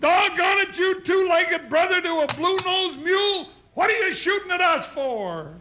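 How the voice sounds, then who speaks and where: High-pitched, grumbling cowboy sidekick, noisy recording. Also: male voice, lively intonation, moderate pace. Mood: indignant. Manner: complaining, wisecracking, countrified. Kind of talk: old-time radio western acting. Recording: noisy old radio recording with clicks.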